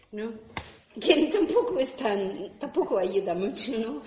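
A woman's voice: mid-range voice, a little mellow, echoey room, slight background noise. A woman from Glasgow, a native English speaker, giving a reflective Buddhist talk in her second language, checking in with tag questions and sometimes searching for a word. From Scotland, Glasgow. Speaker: Buddhist teacher. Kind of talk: dharma talk.

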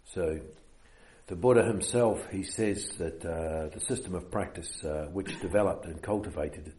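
A man with a New Zealand accent leading a meditation retreat, giving an unhurried dharma talk with many fillers. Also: sits low, darkish tone, reverberant.

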